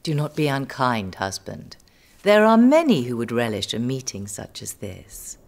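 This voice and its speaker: A woman's voice: a bass voice, big pitch swings, unhurried, a little mellow, heavily acted. A woman playing a gracious, imperious noble duchess in an audio drama, with a genteel, coaxing manner.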